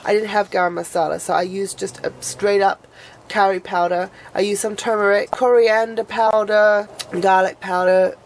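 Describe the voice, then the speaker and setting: A woman's voice: mid-range voice, little pitch movement, normal speed, a little hiss or hum. A woman vlogging in casual chat, her voice a bit nasal and sniffly from a cold, rambling and restarting her sentences.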